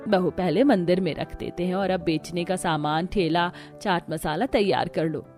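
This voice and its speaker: A woman's voice: lowish voice, normal speed, a little mellow, faint music behind, lightly acted. A woman playing a fussy but fond older Indian mother-in-law who bosses the household in a family cartoon. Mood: approving, instructing. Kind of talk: Hindi family cartoon dialogue.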